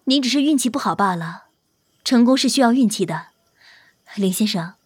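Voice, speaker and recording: Woman playing a composed, husky celebrity manager. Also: on the high side, lively intonation, moderate pace, dry recording. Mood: apologetic, polite. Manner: formal, composed, polite. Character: courteous, protective female celebrity manager. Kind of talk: audio drama acting.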